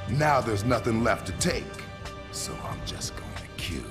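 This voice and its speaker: An actor playing a threatening, possessive crime boss villain in a video game. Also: male voice, lowish voice, expressive, normal speed, music in the background, close to an everyday voice. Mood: cold menace.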